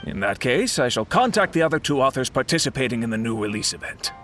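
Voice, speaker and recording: A man playing a courteous, formal editorial director in a video game, deliberate and considerate. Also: medium pitch, very animated, a bit bright, slight background noise. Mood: decisive.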